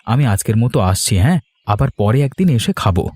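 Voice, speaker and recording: A man's voice: medium pitch, big pitch swings, fairly quick, a little mellow. A man voicing a husband and nephew in a Bengali cartoon, speaking in an earnest, plain way.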